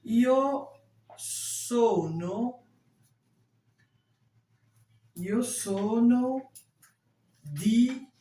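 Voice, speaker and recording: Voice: mid-range voice, animated, drawn-out words, pauses often, warm tone. A language teacher giving a classroom lesson in a didactic way, carefully repeating example phrases. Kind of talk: classroom Italian lesson explained in French. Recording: roomy echo, distant mic, faint noise.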